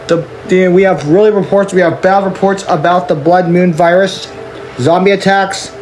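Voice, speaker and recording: A man playing a solemn US government official in an amateur emergency broadcast, halting and stumbling over his words. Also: high voice, darkish tone, slight background noise, natural voice, low-quality recording. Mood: grave, halting. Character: US government official solemnly announcing the world's end.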